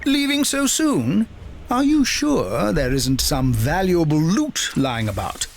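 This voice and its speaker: An actor playing a jaunty, pompous narrator of an old adventure serial in a video game. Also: male voice, a little high, big pitch swings, takes it slowly, gravelly, a little hiss or hum, full character voice. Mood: arch, teasing. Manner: arch, pompous, teasing. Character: jaunty, tongue-in-cheek adventure narrator with old-fashioned exclamations.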